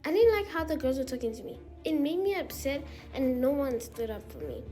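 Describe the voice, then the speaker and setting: Woman as a timid, high-voiced young girl dancer. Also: sing-song, fairly quick, dark tone, soft background music. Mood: upset. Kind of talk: amateur roleplay voice acting.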